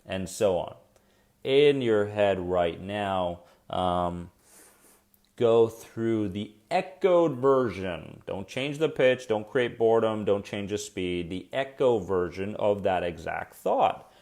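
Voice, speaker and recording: A man's voice: fairly low pitch, expressive, slow, darkish tone. A man hosting a live coaching stream on anxiety, chatty and encouraging, with a soothing voice, talking straight to his viewers and reacting to their comments.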